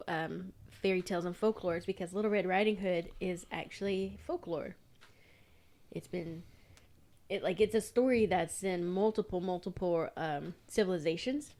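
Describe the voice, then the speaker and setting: A woman's voice: mid-range voice, darkish tone. A woman co-hosting a podcast, telling folk tales in a casual, irreverent, sweary way. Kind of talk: casual chat and informal storytelling.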